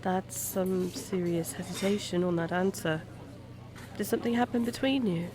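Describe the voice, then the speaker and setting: A woman acting in an intimate audio roleplay as a kind, gently teasing private eye, talking softly-concerned and conversational to a stranger. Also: on the low side, moderate pace, background noise, close to an everyday voice. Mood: gently probing. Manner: soft-hearted, hesitant, questioning, playful asides.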